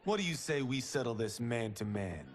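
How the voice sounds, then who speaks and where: An actor playing a brash, boastful young martial artist in a video game, teasing and punchy in delivery. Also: male voice, mid-range voice, lively intonation, moderate pace, noisy background, close to an everyday voice. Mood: cocky, teasing. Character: cocky, brash young male martial artist, loyal and defiant. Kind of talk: video game cutscene acting.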